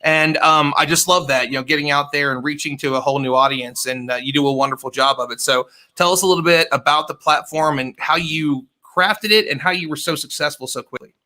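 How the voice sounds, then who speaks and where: A man's voice: on the high side, brisk, hardly any pauses, sharp tone. A man moderating an online panel, chatty and enthusiastic, joking with the panelists.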